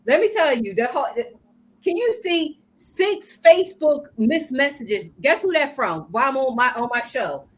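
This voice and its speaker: An older woman co-hosting a live talk show, chatty and lively, laughing often. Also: high-pitched, normal speed. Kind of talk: casual live show chat.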